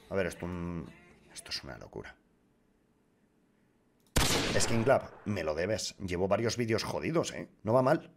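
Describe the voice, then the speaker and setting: A man with a Castilian Spanish accent doing casual, foul-mouthed, excitable gaming commentary for his viewers. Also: medium pitch. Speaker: YouTuber, gaming streamer. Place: small room with acoustic foam panels. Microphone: boom-arm desk mic close up.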